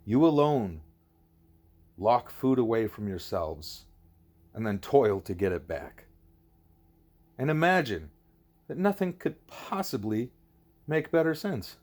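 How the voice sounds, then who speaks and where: A man voicing a wise, patient gorilla teacher in an audiobook, deliberate and didactic, leaning on key words. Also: medium pitch, very animated, darkish tone. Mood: emphatic, grave.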